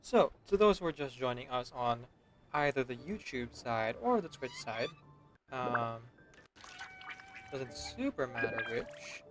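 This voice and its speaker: A man livestreaming in a casual, courteous way, welcoming his viewers. His mid-pitched voice moves up and down a lot. Faint music plays underneath. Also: sing-song, unhurried. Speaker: live streamer.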